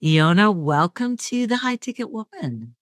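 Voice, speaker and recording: An upbeat woman hosts an interview podcast, introducing her guest and asking questions in a friendly way. Her voice sits at a middling pitch. The recording is dry and close, with no room echo. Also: big pitch swings, unhurried. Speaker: podcast host.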